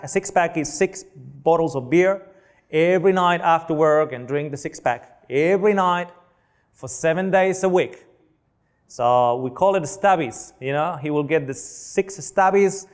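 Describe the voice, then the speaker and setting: A man from Spain with a Spanish accent, a clinical nutritionist giving a chatty seminar talk to an audience, asking them questions. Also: a little high. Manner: chatty, asks the audience questions, joking asides.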